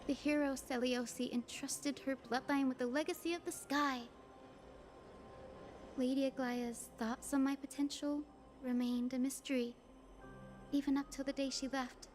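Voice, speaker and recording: Game casting: gentle young female healer played by a woman, high voice, polite and earnest, faint music behind. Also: fairly even intonation, normal speed, close to an everyday voice. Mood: candid, wistful. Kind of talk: scripted game voice acting. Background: background music.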